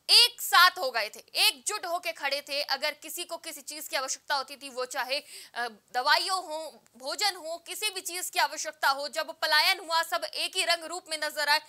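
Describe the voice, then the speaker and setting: High, bright, sharp female news anchor. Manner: emphatic, repetitive rhetorical questioning. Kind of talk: news debate introduction. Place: TV news studio.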